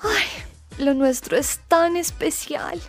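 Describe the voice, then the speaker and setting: A woman's voice: high voice, lively intonation, slow, faint music behind, full character voice. A woman playing a dreamy young Colombian woman in love, sighing and gushing in a radio soap. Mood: lovestruck. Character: dreamy lovestruck young woman gushing about her boyfriend.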